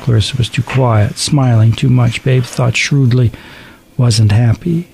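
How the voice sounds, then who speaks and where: A man's voice: medium pitch, steady tone, measured pace, gravelly, a little mellow, a little hiss or hum. A man narrating a radio play as scripted, dramatic storytelling. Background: background music.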